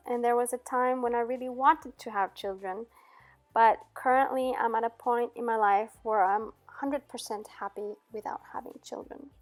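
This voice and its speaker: A middle-aged woman raised in Austria chats through viewer questions, her voice sitting a little high. She laughs softly and pauses with frequent "um"s. Faint music plays underneath. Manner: chatty, frequent "um" and soft laughs. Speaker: vlogger answering viewer questions. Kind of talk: casual Q&A chat.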